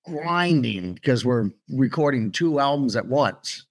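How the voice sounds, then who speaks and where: A man's voice: mid-range voice, takes it slowly. Blunt, swearing man in his sixties. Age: 60s. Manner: blunt, swears freely, relaxed. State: tired. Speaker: guest guitarist. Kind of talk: casual interview chat.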